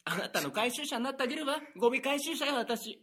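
A man's voice: very high voice, expressive, fast, bright, forceful tone, voice pushed up, exaggerated voice. A man putting on a doting middle-aged mother in an improvised radio drama, soothing and gushing over her son. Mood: encouraging. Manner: soothing, gushing, pep-talking.

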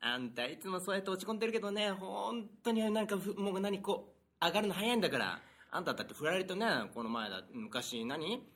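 A man's voice: sits high, lively intonation, quick pace, a bit bright, full character voice. A man putting on a doting middle-aged mother in an improvised radio drama, soothing and gushing over her son. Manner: soothing, gushing, pep-talking.

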